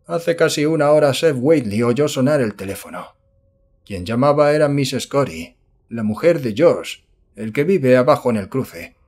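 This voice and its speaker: A man's voice: mid-range voice, animated, normal speed, darkish tone, voice pushed up, natural voice. A man voicing an agitated village man reporting frightening news in a horror audiobook. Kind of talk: audiobook character acting.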